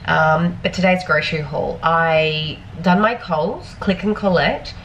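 Australian woman, 30s, chatty vlogger, a bit sniffly and hoarse, low-pitched, faint background hum, filmed in a home kitchen. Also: a little slow, a bit bright, low-quality recording. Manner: chatty, rambling, frequent ums. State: sniffly, losing her voice. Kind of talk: casual grocery haul vlog.

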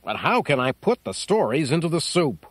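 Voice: medium pitch, very animated, normal speed, no echo. A storyteller voicing a hungry, gullible weasel, sounding puzzled, over music.

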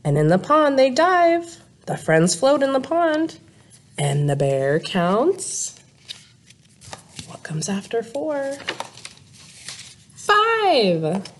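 A woman in her thirties, a teacher, reading a picture book aloud to young children in a sing-song, deliberate way with exaggerated emphasis. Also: on the high side. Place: small classroom, indoors.

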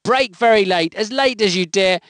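A man's voice: sits high, moderate pace, a bit bright, no echo, clean studio sound. A man delivering scripted motor racing commentary for a video game in clipped, emphatic phrases. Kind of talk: scripted race commentary voice clips.

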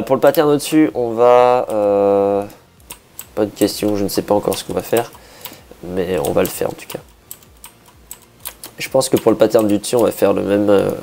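A man with a hoarse voice, a bit sick, casually talking through a building project, thinking aloud with many hesitations and restarts. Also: on the low side, warm tone, slight background noise. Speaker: Minecraft builder.

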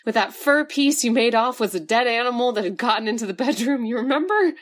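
High-voiced actress doing a scared wife lost in a tunnel, stammery and pleading, radio play. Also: female voice, expressive, brisk. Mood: teasing. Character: nervous wife led into a dark tunnel. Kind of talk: radio drama acting.

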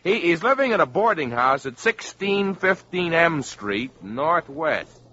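An actor playing a self-serving, hot-headed American boyfriend in a 1950s radio drama, brash and insistent. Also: male voice, a little high, big pitch swings, unhurried, pushed and bright, exaggerated voice. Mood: eager. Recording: dull vintage 1950s radio recording.

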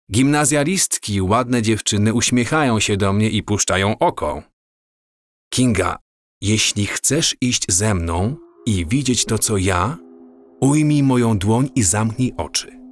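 A man playing a dreamy, awestruck wanderer in an audio drama, with a measured, wistful, descriptive delivery. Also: fairly low pitch.

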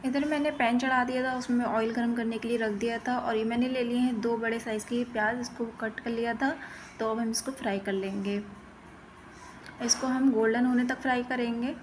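A woman's voice: on the high side, steady tone, moderate pace, noisy background. A woman giving plain-spoken, step-by-step instructions in a recipe voice-over as host of a cooking channel.

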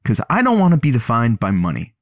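A man's voice: medium pitch, huge pitch swings, fast, no room sound, studio quality. A man playing an easygoing, teasing radio producer in an audio drama. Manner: playful, teasing. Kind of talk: semi-scripted audio drama.

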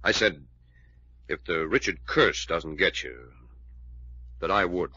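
An actor in old radio drama playing a bitter, bossy man with a gruff, threatening manner. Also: deep voice, takes it slowly, bright, forceful tone, dry recording, heavily acted. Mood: sullen, resentful. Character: bitter, menacing, bossy man nursing a grudge. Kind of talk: 1940s-50s radio drama acting. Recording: muffled old radio broadcast recording.

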